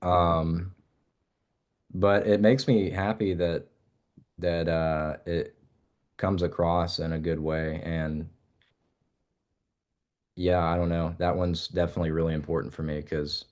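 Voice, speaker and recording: Young man with a very low voice who talks casually and loosely, full of fillers and restarts and ideas that trail off as he chats with an interviewer. He comes across as a relaxed musician guest. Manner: rambling, halting with many fillers and restarts.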